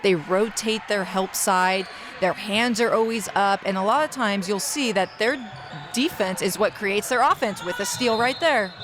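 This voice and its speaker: A woman's voice: medium pitch, normal speed, noisy background. A woman giving color commentary at a basketball game, explaining plays with enthusiasm.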